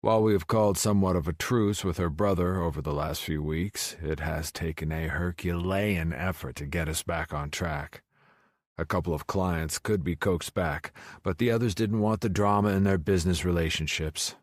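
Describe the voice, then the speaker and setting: Very deep male romance narrator. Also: normal speed, dry recording, studio quality. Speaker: audiobook narrator. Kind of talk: first-person romance narration.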